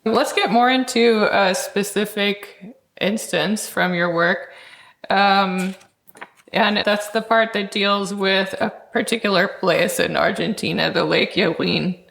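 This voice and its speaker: A woman interviews as a podcast host in a medium-pitched voice with plenty of vocal fry. Her questions are measured and articulate, scholarly yet conversational. The recording has a clear room echo. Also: steady tone, a bit bright. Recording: echoey, roomy sound.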